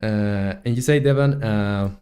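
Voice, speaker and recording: A man's voice: lowish voice, measured pace, dark tone. A young man from Chile in his twenties, chatting casually while he works on a game and reads chat aloud, with lots of fillers and restarts. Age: 20s. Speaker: game dev streamer.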